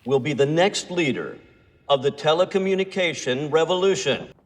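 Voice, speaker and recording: A man's voice: a little high, moderate pace, natural voice. An actor playing a formal, grandiose male conference speaker giving a ceremonious stage speech in a film. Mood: formal, celebratory. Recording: reverberant hall, faint music behind.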